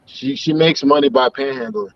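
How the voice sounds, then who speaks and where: A man's voice: medium pitch, normal speed, crowd noise. A man from Haiti chiming into a casual group chat, emphatic and repeating words as he cuts in. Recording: dull lo-fi call audio, very dry.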